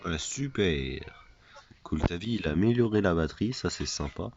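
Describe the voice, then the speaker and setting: A man's voice: low voice, lively intonation, normal speed, darkish tone, muffled recording, soft background music. A man with a cold giving chatty, self-mocking commentary over a survival video game, often repeating his phrases. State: has a cold. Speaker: gaming YouTuber. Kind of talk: casual let's play commentary.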